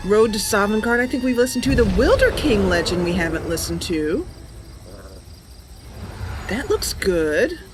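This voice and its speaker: A woman hosting a casual gameplay livestream, chatty and giggly, with hesitant pauses. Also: mid-range voice, very animated, noisy background. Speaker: livestream host. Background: game music and ambient sounds.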